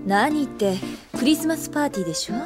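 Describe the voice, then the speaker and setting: A woman's voice: high voice, expressive, quick pace. An actress playing a cheerful, theatrical senior schoolgirl who leads her group in an anime audio drama. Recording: loud music behind the voice. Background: loud background music.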